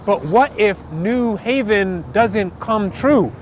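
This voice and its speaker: A man's voice: high voice, lively intonation, slow. A man reciting spoken word poetry in a rhythmic, dramatic, emphatic way. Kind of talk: performed slam poetry recital. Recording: outdoor recording with clear traffic noise.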